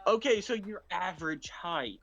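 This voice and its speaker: A man's voice: high-pitched, expressive, moderate pace, fairly bright tone, faint music behind. A man with a Southern US accent, co-hosting a casual chat show and rambling with many restarts and "like"s over a voice call. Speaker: co-host.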